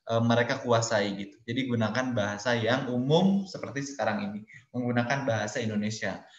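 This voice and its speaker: A man giving a webinar lecture on public speaking, explaining step by step in numbered points and talking casually to his listeners as friends, over a computer or phone mic on a video call. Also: mid-range voice. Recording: roomy, dull lo-fi webinar audio.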